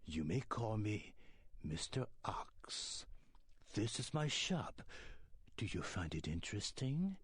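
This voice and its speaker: A sinister old shopkeeper from a video game, low and husky. He is courteous, formal and cryptic, and his slow lines swing widely in tone. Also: big pitch swings, dry recording, heavily acted. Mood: courteous, mysterious. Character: polite, sinister old male occult shopkeeper.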